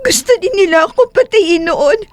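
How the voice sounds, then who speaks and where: Woman acting a very high-pitched, tearful young heiress. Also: animated, normal speed. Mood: tearful, accusing. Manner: tearful, sobbing, pleading delivery. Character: frightened, grieving young heiress, tearful daughter. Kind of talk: Filipino audio drama acting.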